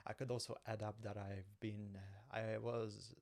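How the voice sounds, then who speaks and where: A man's voice: on the low side, little pitch movement, a little slow, vocal fry, darkish tone. A man from Uzbekistan, a PhD student and guest, speaking hesitantly with many fillers.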